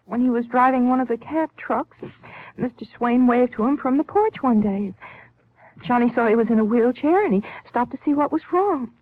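A woman's voice: fairly high pitch, lightly acted. An actress playing a nervous, secretive young newlywed woman, hesitant and earnest, in a 1950s radio drama. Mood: anxious, defensive. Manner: hesitant, halting, anxious. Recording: tinny, band-limited 1950s radio recording.

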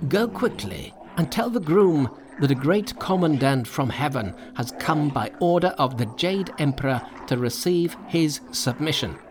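Someone doing a pompous warrior spirit from a storybook, imperious, threatening, lots of swing in the pitch. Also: expressive, a little slow, hardly any pauses, background noise, close to an everyday voice. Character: pompous, menacing male warrior spirit, heavenly commandant. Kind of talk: dramatised storybook reading.

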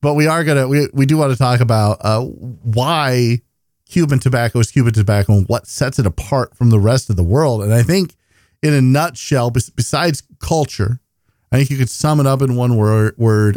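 A man's voice: mid-range voice, moderate pace, darkish tone, no room sound, studio quality. A man hosting a podcast in a casual conversational style, asking questions and often rephrasing them as he goes. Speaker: podcast host.

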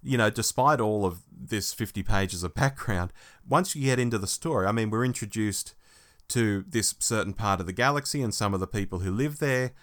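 A man's voice: fairly low pitch, normal speed, a bit bright. A man co-hosting a podcast, reviewing a book in a casual, wry, conversational way. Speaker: podcast co-host.

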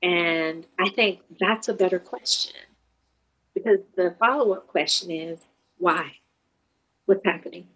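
A woman's voice: fairly low pitch, measured pace, long pauses, fairly bright tone, husky, low-quality recording. A woman who counsels grieving teens, giving a thoughtful, searching interview answer with restarts and fillers.

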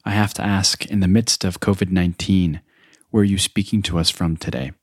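A male podcast host reading a measured, scripted introduction and then asking his guest questions. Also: deep voice, steady tone, dry recording, clean studio sound. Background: background music.

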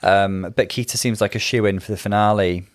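Dry, measured man, close and dry sound. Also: on the low side, steady tone, no echo. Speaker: podcast co-host reviewing a drag competition. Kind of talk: casual chat.

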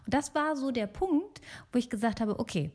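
A woman's voice: on the high side, expressive, normal speed, a little mellow. A middle-aged woman from Germany talking casually and alone as a podcast host.

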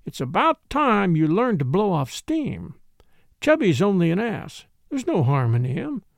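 A man voicing a languid, blunt young society woman who speaks in a slow drawl, in an audiobook. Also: sits high, huge pitch swings, gravelly, dry recording, studio quality, full character voice. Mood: dry, worldly.

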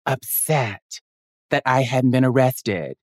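A woman hosting an interview, telling stories in an animated way and slipping into the voices of the people she quotes. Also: very deep voice, slow pace, no room sound, clean studio sound. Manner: animated storyteller who acts out quoted voices. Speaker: podcast host. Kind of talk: casual interview chat.

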